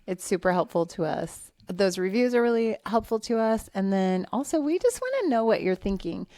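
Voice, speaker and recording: A woman's voice: medium pitch, big pitch swings, moderate pace, dark tone, no room sound. Woman, podcast co-host, casual chatty delivery, dry close recording. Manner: chatty, upbeat, laughs often.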